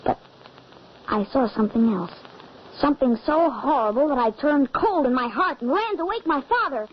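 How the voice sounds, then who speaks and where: A woman's voice: high voice, lively intonation, normal speed. A woman playing a frightened, vengeful young boy in an old-time radio drama, with breathless, melodramatic delivery. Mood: haunted, grave. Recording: dull, noisy old radio recording.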